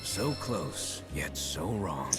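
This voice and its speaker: An actor playing a learned talking beast in a video game, speaking in a measured, formal, wry and condescending way. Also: male voice, low voice, lively intonation, fairly bright tone, soft background music, full character voice. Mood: dry, knowing. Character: learned talking beast, dry, haughty and faintly teasing. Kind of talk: scripted video game dialogue.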